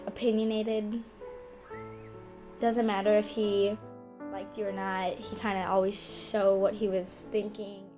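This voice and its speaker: A teenage girl speaks simply and directly in a documentary interview. Her pitch is ordinary for her age, with clear music playing beneath her. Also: level tone, a little slow.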